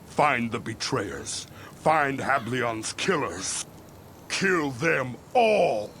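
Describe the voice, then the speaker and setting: An actor playing a grave, vengeful religious leader in a fantasy game, delivering measured, preaching oratory. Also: male voice, mid-range voice, animated, fairly bright tone, slight background noise, exaggerated voice. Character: solemn, vengeful male religious leader rallying followers. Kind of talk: video game cutscene acting.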